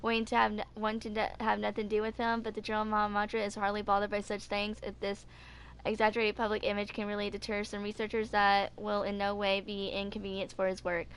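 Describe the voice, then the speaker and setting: A woman's voice: medium pitch, completely flat delivery, fast talker, slight background noise. A woman in her twenties casually reading game character lore aloud, stumbling and correcting herself as a video host. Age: 20s.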